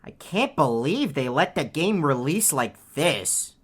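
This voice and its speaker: A low-pitched woman acting as a snarky game bird. The delivery is deadpan and sarcastic, full of mockery. This lazy, greedy heckler taunts like a bored cartoon sidekick. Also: unhurried, breathy edge, full character voice. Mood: deadpan mocking. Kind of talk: scripted video game character taunts.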